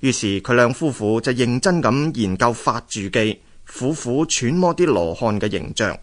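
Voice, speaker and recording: A male narrator tells a story for a radio drama in Hong Kong Cantonese. His voice is mid-pitched, and his delivery is that of scripted storytelling.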